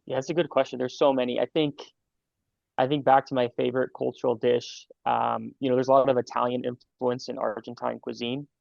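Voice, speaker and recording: A man's voice: mid-range voice, flat delivery, vocal fry, darkish tone, dry recording, muffled recording. A young man in his twenties, a medical student answering interview questions in a casual, friendly way, with many fillers and restarts. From Los Angeles, California. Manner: friendly, halting with fillers and repeats.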